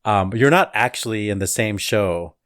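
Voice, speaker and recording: A man's voice: on the low side, moderate pace, fairly bright tone, no room sound, clean studio sound. A man hosting an interview, asking long, rambling, enthusiastic questions in a casual chat. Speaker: podcast host.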